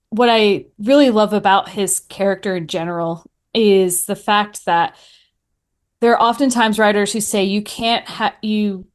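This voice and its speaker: A woman chats casually as a podcast guest. She tells things in an animated, storytelling way, with a mid-pitched voice and little acted-out quotes. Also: smooth. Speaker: podcaster answering questions as a guest. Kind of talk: casual chat.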